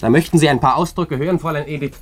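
An actor playing a proud, awkward young cavalry lieutenant in a German radio drama, indignant and politely stubborn. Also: male voice, fairly high pitch, rapid-fire, close to an everyday voice. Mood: ironic, indignant.

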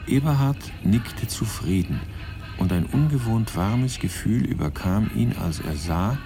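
An actor as the wry, composed narrator of a radio crime drama, reading scripted literary narration. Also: male voice, on the low side, lively intonation, unhurried, over music.

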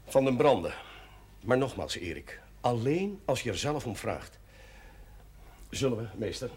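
An actor playing a genial, authoritative parish priest, paternal and persuasive, in a 1970s Dutch television drama. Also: male voice, mid-range voice, lively intonation, measured pace, a little mellow, slightly rough. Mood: firm, persuasive.

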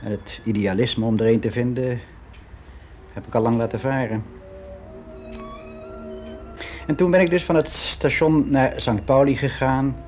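A dull, lo-fi radio play recording of a man acting a lonely male office clerk of sixty. He talks candidly and haltingly in a mid-pitched voice, correcting himself as he confides his timid regrets. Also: close to an everyday voice. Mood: resigned, matter-of-fact. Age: 60s. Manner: halting, confiding, colloquial, with hesitant self-corrections. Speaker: actor performing a radio monologue. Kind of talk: conversational radio drama monologue.

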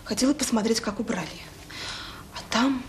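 A woman's voice: on the high side, normal speed, background noise, natural voice. An actress playing an anxious woman staffer at a children's home, hesitant and apologetic, in a Soviet radio drama. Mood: hesitant, worried.

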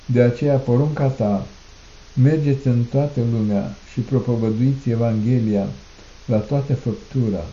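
A man reciting a solemn liturgical prayer in a declamatory manner, in measured phrases with repeated refrains. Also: medium pitch, mellow. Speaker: prayer reader. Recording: muffled, echoey room recording.

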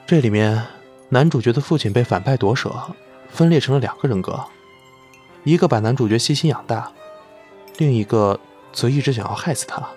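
A man acts as a young male film star in an audio drama, devoted to an older colleague. He speaks in an eager, coaxing way at a middle pitch. Music plays behind him. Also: lively intonation, a little fast, a little mellow, lightly acted. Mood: earnest, keen. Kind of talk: Chinese audio drama acting.

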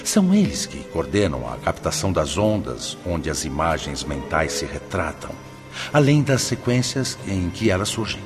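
An actor playing a benevolent, wise spirit mentor in a radio drama, explaining solemnly and patiently. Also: male voice, sits low, wildly swooping pitch. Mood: solemn, instructive. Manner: solemn, didactic, measured explaining. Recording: long cavernous reverb, loud music underneath. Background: music.

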